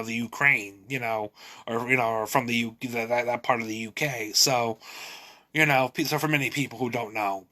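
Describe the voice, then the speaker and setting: Male vlogger, sharp bright tone, loose halting monologue with many restarts. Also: mid-range voice. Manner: repeats and restarts words often, many fillers. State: nasal drip, clears throat. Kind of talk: rambling personal monologue.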